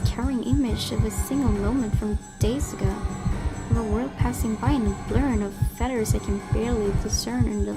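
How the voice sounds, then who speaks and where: Woman, fairly high voice, dramatic horror narration, hushed breathy emphasis, gaming host, music clearly behind. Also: rough recording. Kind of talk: dramatic reading of horror visual novel narration. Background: game music.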